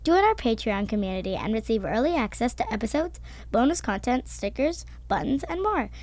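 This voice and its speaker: Higher-pitched woman reading a podcast promo. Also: very animated, fairly quick, a little mellow, dry recording. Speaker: sponsor message announcer. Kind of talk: scripted promotional read.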